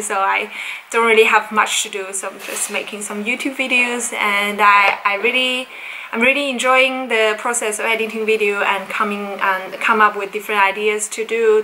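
Chatty young woman, bright voice. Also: mid-range voice, steady tone, moderate pace, slight background noise, low-quality recording. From China. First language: Chinese. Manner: chatty, rambling, with frequent fillers and restarts. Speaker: language vlogger. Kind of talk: unscripted casual vlog. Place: home study with bookshelves, indoors.

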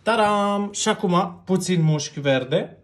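A man in his thirties hosting a playful pretend cooking show, laughing a lot and stretching out his gushing praise. His voice sits a little high for a man. He is recorded by a camera a few feet away in an echoey room at home. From Romania. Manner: jokey, laughs often, drawn-out gushing words; busy with his hands while talking. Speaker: host of a plant arranging show. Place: home room with plant wallpaper.

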